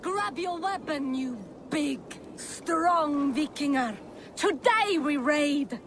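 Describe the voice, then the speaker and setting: High female voice, eager lusty Viking wife, unhurried, video game, faint noise. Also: lively intonation. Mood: eager, seductive.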